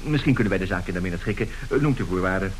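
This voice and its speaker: A man's voice: low voice, animated, rapid-fire, a little hiss or hum. An actor playing a glib, brazen swindler and seducer of women in a radio play, theatrical in his bargaining and pleading. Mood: cool, brazen. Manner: theatrical, swinging from cool bargaining to florid pleading. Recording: roomy radio drama recording.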